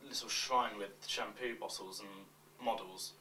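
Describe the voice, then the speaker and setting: A man reading scripted confessions in an awkward, deadpan manner. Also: lowish voice, normal speed. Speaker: reader of fans' confessions. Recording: distant mic, faint background noise.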